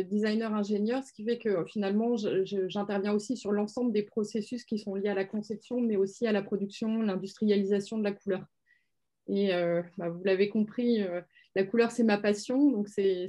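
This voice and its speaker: A woman's voice: mid-range voice, steady tone, normal speed, mellow. A woman who works as a color strategy expert, explaining her work on a webinar over a video call, with lots of fillers. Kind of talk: webinar presentation.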